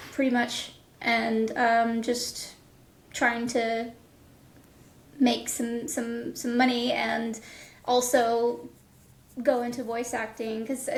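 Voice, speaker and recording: A woman in her thirties answering casually as an interview guest, explaining things in a thoughtful, halting way. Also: on the high side. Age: 30s. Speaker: guest, voice actor. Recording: echoey, roomy sound.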